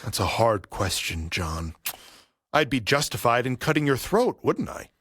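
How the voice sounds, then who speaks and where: An actor playing a vengeful, grieving middle-aged father in an audio play, speaking with deliberate, theatrical menace. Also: medium pitch, very animated, fairly bright tone, slightly rough, no room sound, clean studio sound, full character voice. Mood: quietly menacing. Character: vengeful grieving father, a barber settling an old debt.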